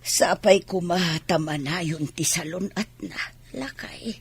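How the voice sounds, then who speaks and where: Female actor as worried fisherman's wife, Ilocano accent, very raspy, creaky, slow delivery, radio drama. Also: lowish voice, full character voice. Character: weary, worried village mother, fisherman's wife.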